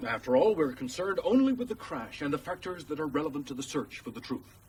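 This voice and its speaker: A man's voice: a little high, very animated, fast, a little mellow, far from the mic, a little hiss or hum, low-quality recording. A man acting in a radio drama as a pushy American current affairs presenter, formal and insistent on air. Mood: pointed, formal.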